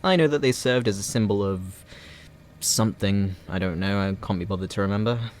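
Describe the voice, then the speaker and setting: A man's voice: lowish voice, a little fast, a little hiss or hum, lightly acted. A man playing a gloating, sarcastic supervillain who taunts a captive hero in a mocking, playful, theatrical way, in a roleplay audio drama.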